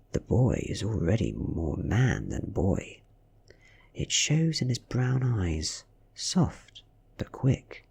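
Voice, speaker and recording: A British man reading a story in a soft, hushed, half-whispered ASMR style, soothing and breathy. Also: fairly low pitch. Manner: slow, soothing, hushed delivery. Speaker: audiobook reader.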